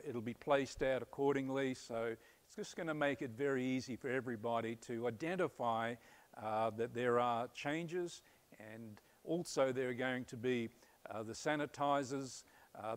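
A man in his fifties with an Australian accent, a pastor giving earnest, upbeat church announcements on a stage, pausing often on "uh", with a lapel mic. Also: mid-range voice. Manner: earnest, upbeat, many "uh" fillers. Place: church stage before a curtain.

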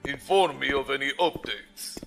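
An actor playing a stern, arrogant commodore of a space base, speaking in a formal, pompous, commanding way in an audio drama. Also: male voice, mid-range voice, normal speed, creaky, a bit bright, faint music behind. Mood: cold, sardonic.

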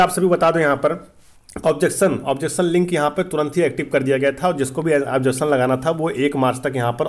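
A man hosting an explainer for students, repeating points emphatically and often checking that listeners follow. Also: a little high. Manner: repetitive, emphatic explaining with frequent check-in tags. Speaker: host of exam-update channel.

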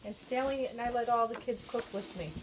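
A woman's voice: a little high, brisk. A middle-aged woman with a New England accent, chatting through a hands-on bread-making demonstration in her home kitchen, talking while she kneads. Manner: chatty, coaxing, talks while kneading dough by hand. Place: home kitchen with children around. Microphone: phone camera held by another person. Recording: noisy home video.

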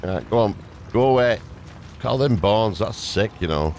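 A man hosting a gameplay video with casual, excitable, chatty reactions. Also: lowish voice, normal speed. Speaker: gamer, video host. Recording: clear background game noise.

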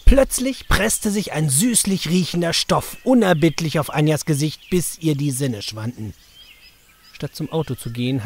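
A man's voice: on the high side, darkish tone, slight background noise. A man narrating a scripted story for children as its storyteller. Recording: music under the voice. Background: music.

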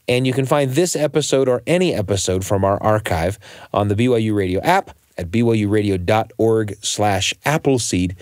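An American man hosting a radio show in an expressive storytelling style, speaking directly to the listener with dramatic pauses. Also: on the low side, no echo, clean studio sound. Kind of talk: expressive scripted storytelling narration.

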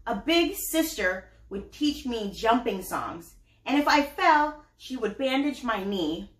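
A woman's voice: on the high side, expressive, slow, echoey room. A woman voicing Pearl, a bossy, impatient young girl pig, in a whiny, exasperated voice for a children's storybook. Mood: wistful.

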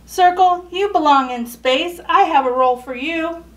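A woman's voice: very high-pitched, normal speed, a bit bright, recorded from a distance. A woman voicing a bossy, self-assured triangle who directs a show in a picture book, commanding and dismissive. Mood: brisk, judging.

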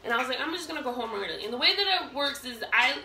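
A woman's voice: high voice, lively intonation, very fast, vocal fry, bright, forceful tone, a little hiss or hum. A woman in her thirties chatting casually while she cooks at home, rambling with lots of fillers and restarts. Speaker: cooking vlogger. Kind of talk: casual storytelling while cooking. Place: home kitchen, indoors. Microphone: camera set across the room. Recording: roomy kitchen, distant camera, pan clatter.

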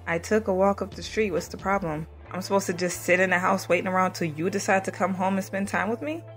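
A woman's voice: on the low side, steady tone, fast talker, faint music behind, natural voice. A woman playing a conflicted, defensive young married woman who also narrates her story in an audio drama. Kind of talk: audio drama acting with first-person narration.

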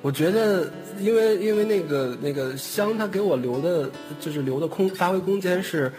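A man's voice: sits high, expressive, normal speed, darkish tone. A man in a casual online cast chat, talking hesitantly and repeating his phrases. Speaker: voice actor at a cast chat, later reading questions. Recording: music behind the voice.

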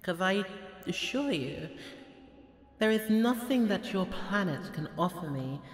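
A woman voicing a haughty, menacing, easily exasperated goddess in an ASMR audio roleplay, speaking with slow condescension. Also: fairly low pitch, animated, roomy sound, big-room sound. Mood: condescending, amused. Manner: slow, imperious, condescending, with heavy sighs.

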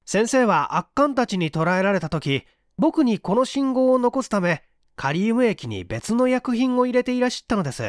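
A man voicing a brave, sharp-witted young hero, forceful and confident, in a dramatic story reading. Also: very high voice, big pitch swings, moderate pace, hardly any pauses, dry recording, studio quality, pitched above the performer's own voice. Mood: bright, explaining.

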